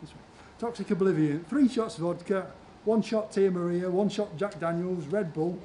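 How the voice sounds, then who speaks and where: A man's voice: high voice, normal speed, warm tone, slight background noise, lightly acted. A man playing a sociable nightclub mate in an amateur radio play read aloud. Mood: friendly. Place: large conference room before an audience.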